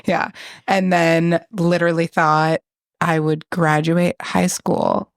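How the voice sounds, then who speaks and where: Chatty woman with a low gravelly voice. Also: level tone, slow pace, dry recording, clean studio sound. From New England. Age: 30s. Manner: chatty, self-deprecating, laughs often. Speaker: podcast co-host. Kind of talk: casual chat.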